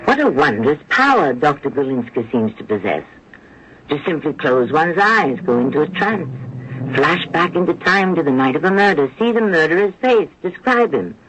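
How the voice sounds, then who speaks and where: A man narrating a radio mystery drama as a musing, theatrical storyteller with measured phrasing. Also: fairly high pitch, very animated, fairly bright tone. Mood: musing, ominous. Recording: muffled, hissy old radio recording.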